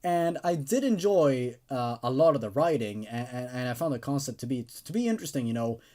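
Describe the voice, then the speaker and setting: A man's voice: mid-range voice, animated, brisk, dark tone. A man hosting a channel, giving a casual spoken review in a hesitant, rambling way with many qualifications. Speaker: host of a story-reading channel.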